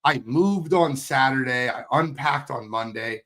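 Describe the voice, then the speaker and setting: A man with a hoarse voice co-hosting a sports podcast, talking in a casual, teasing, fired-up way. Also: mid-range voice, a little slow, fairly bright tone. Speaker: podcast co-host.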